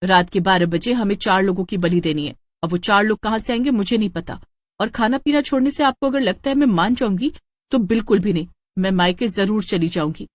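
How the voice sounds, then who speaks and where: A woman's voice: medium pitch, brisk, natural voice. A woman voicing a domineering, superstitious village wife and mother in a cartoon story, nagging and insistent. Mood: nagging, threatening. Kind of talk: Hindi cartoon story dialogue.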